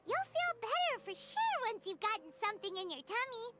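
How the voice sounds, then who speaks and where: A woman voicing a tiny, chirpy female fairy companion in a video game, with a squeaky, childlike voice and a bouncy, bossy manner. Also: big pitch swings, brisk, heavy background noise.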